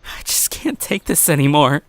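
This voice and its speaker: A man's voice: fairly high pitch, animated, normal speed, dry recording, in a whisper, close to an everyday voice. A man playing an earnest, troubled teenage schoolboy, telling his own story in dramatic first-person visual novel narration. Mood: upset, anguished.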